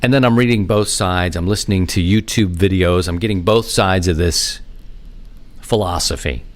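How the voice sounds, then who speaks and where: A man hosting a podcast, talking casually and informally in a rambling, self-deprecating monologue with animated asides. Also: low-pitched, normal speed. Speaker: podcast host. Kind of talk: casual rambling hobby monologue on amateur radio.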